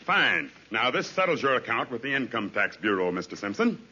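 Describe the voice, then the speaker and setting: Brisk formal tax collector, piercing tone, lively pitch, old radio sitcom. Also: male voice, slight background noise. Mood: businesslike. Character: brisk, official-sounding tax collector con man. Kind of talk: 1950s radio sitcom acting. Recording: muffled old radio broadcast.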